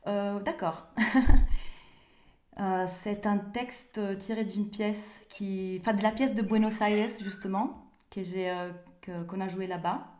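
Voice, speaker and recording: A woman's voice: medium pitch, fairly even intonation, slow pace. Woman speaking French in a roomy space. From Italy. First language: Italian. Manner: hesitant, repeats words, soft laughs. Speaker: guest, playwright. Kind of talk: conversational interview. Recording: roomy echo.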